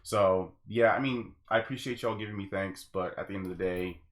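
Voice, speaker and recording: A man's voice: low voice, a little fast. A man hosting a casual podcast, talking earnestly and at rambling length to his listeners. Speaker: podcast host.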